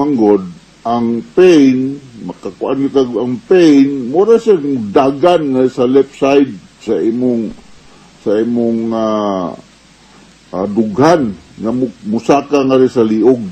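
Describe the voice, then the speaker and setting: A man's voice: medium pitch, mellow, low-quality recording. A man with a Cebuano Filipino accent, speaking as a doctor giving informal health advice in a conversational manner, mixing in English medical terms. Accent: Filipino, Cebuano Bisaya. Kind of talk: informal medical advice talk answering listener questions.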